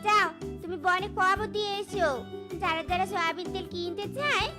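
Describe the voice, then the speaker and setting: A woman's voice: very high voice, fairly even intonation, moderate pace, loud music behind the voice. A woman voicing a greedy, bossy cartoon wife who scolds and insists. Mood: scornful, bossy. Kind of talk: cartoon character acting.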